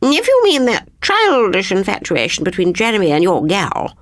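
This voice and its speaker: A woman's voice: medium pitch, wildly swooping pitch, normal speed, no echo, studio quality, pitched above the performer's own voice. A woman voicing a haughty, snobbish upper-class storybook queen, condescending and dismissive.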